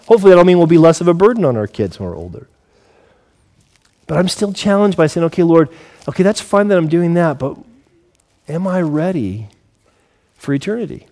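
A man in his forties delivers a sermon in a fairly high voice for a male speaker. He sounds like an earnest pastor who talks conversationally and often puts questions straight to his listeners. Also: a little mellow. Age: 40s.

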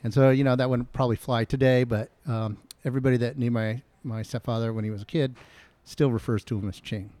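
A middle-aged man from Kentucky telling a family story in casual chat, halting and full of fillers. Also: medium pitch, steady tone, warm tone, no room sound. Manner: halting, many fillers and repeats. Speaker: guest.

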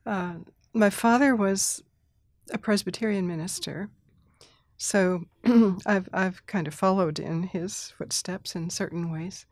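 A middle-aged woman, an interview guest who grew up in Thailand, speaking thoughtfully with restarts, soft laughs and throat clearing. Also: mid-range voice, slow, no echo. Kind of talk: reflective conversational interview.

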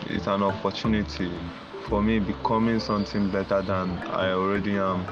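A young man in his twenties from Nigeria, a student speaking earnestly and in a measured way. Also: sits low, flat delivery. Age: 20s. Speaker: student interviewee. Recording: dull lo-fi audio with clear music.

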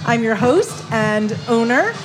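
A woman hosts a relaxed podcast chat, her voice a bit high and her delivery unhurried. She reacts quickly and laughs a lot between questions. Music plays clearly behind her in a bar. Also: rough recording. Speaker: podcast host. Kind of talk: casual interview chat.

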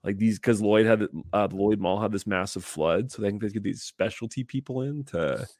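A man's voice: lowish voice, normal speed, a little mellow, no echo, studio quality. Laid-back man telling a rambling story. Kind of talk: casual chat.

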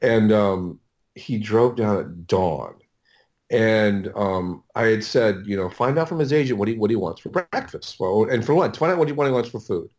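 A man's voice: fairly low pitch, lively intonation, a little mellow. A middle-aged American man telling stories casually as an interview guest, often stopping and correcting himself as he rambles. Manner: halting, rambling, self-correcting. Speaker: audiobook producer, podcast guest.

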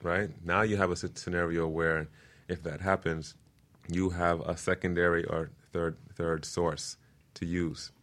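Very deep-voiced man explaining things. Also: level tone, unhurried, a bit bright. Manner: earnest, stepwise explaining, checks in with "right?". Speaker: guest permaculture designer explaining his work. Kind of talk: casual explanatory radio interview.

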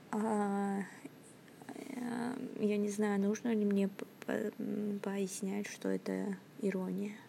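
A woman's voice: medium pitch, vocal fry, dark tone, slight background noise. A young woman hosting her own podcast, rambling hesitantly with many fillers and restarts, often half-whispering into her phone at work. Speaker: podcast host. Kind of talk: casual rambling monologue. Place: at her workplace, indoors.

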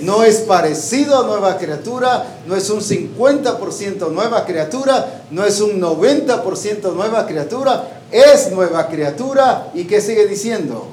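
A man with a high voice preaches a sermon, putting questions to his listeners and pausing for their replies. His voice rings through an echoey hall, and a faint hiss sits underneath. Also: animated, darkish tone. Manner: asks the congregation questions and waits for answers. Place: church hall with a congregation.

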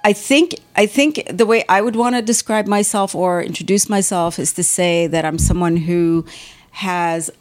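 A woman's voice: lowish voice, normal speed. A middle-aged woman from Lebanon, a professor and artist, speaking reflectively as an interview guest and often repeating words as she searches for phrasing.

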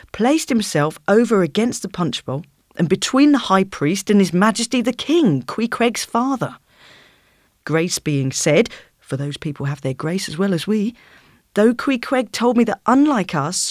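A narrator reading literary fiction aloud as an audiobook, in a measured, expressive storytelling manner with deliberate phrasing. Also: mid-range voice, no echo, clean studio sound. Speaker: audiobook reader.

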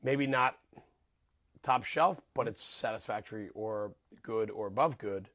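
A man's voice: fairly low pitch, measured pace, fairly bright tone. A man hosting a podcast, bantering casually and teasing his guest, rambling with fillers. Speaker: podcast host. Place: studio with cameras.

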